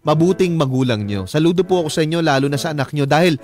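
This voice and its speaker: A man's voice: fairly high pitch, fast talker, a little mellow. A man with a Filipino accent co-hosting a radio advice show, chatting casually and reading listener comments, emphatic and often seeking agreement. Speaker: radio co-host. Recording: music bed under the voice. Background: background music.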